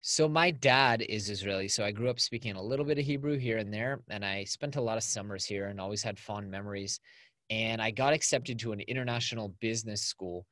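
A man's voice: on the low side, fairly quick, fairly bright tone, no echo. Man, thirties, casual chatty interview guest, dry close sound, home studio. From California. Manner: upbeat, chatty, lots of "like". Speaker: podcast guest. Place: small home studio with acoustic foam. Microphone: video call.

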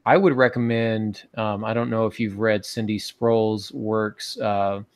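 A man in his forties with a fairly low voice, talking casually as a podcast co-host. He thinks aloud and offers advice tentatively. The sound is dry and close, from a video call in a small room at home. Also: level tone, a little slow, clear, resonant tone, dry recording. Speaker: podcast co-host and writing advisor. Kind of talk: casual advisory talk. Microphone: video call on earphones.

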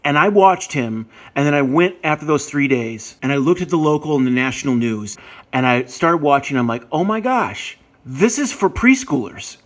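A man's voice: a little high, animated, moderate pace. A man making a casual, friendly phone call, talking emphatically and repeating his phrases. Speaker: caller.

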